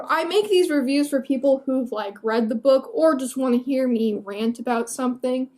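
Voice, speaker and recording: An eighteen-year-old woman giving a casual, rambling book review to camera in a candid, self-deprecating, hesitant way. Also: sits high.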